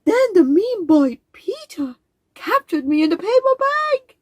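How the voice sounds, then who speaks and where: A woman voicing a small, frightened male squirrel with a squeaky, tearful voice. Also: sing-song, a little slow, dry recording, heavily acted. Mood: upset.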